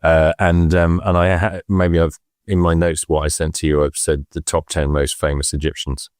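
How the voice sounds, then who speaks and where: A man's voice: very deep voice, little pitch movement, normal speed, darkish tone, dry recording, clean studio sound. A man casually explaining things as an expert podcast presenter, hesitant and often circling back to correct himself.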